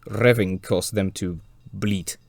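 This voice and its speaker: A man with a slightly hoarse voice from a cold, narrating a scripted railway documentary with dry, wry asides. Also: low voice, steady tone, slow. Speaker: railway video presenter.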